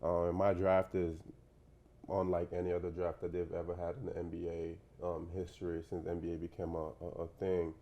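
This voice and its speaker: Young man from Nigeria, low and flat-toned, relaxed interview guest. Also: fairly quick, muffled recording. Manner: relaxed, rambling, many fillers and "you know". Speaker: professional basketball player, guest. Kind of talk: casual interview talk.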